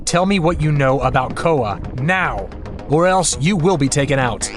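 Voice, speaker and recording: An actor playing a brave, determined young task force captain in an audio drama, with a commanding heroic manner. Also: male voice, a little high, lively intonation, measured pace, music in the background. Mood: cocky, defiant.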